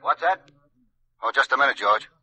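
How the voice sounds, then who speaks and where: A man's voice: mid-range voice, expressive, normal speed, sharp tone, slightly rough, exaggerated voice. An actor playing a cold, methodical male criminal go-between in an old radio suspense play, precise and controlled, over a telephone. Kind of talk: 1940s radio suspense acting.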